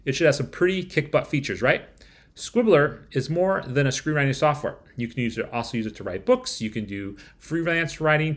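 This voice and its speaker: A man's voice: mid-range voice, fairly bright tone. A man in his forties presenting a scripted review to camera, with wry rhetorical asides. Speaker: software reviewer. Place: small room at home, indoors.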